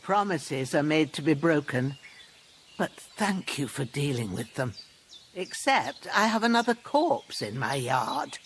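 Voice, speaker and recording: A woman's voice: low-pitched, big pitch swings, measured pace. An older peasant healer from a fantasy game, wry and motherly. Her folksy, rustic speech rises and falls with a lot of expression, with faint noise behind it. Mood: wary, wry. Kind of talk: video game dialogue acting.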